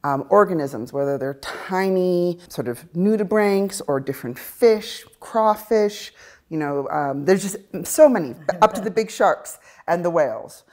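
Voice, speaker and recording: A woman's voice: lowish voice, expressive, unhurried. A woman, a marine conservationist, explaining her work in an interview with frequent laughs. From Bahamas. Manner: warm, laughs often, explanatory. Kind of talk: interview conversation.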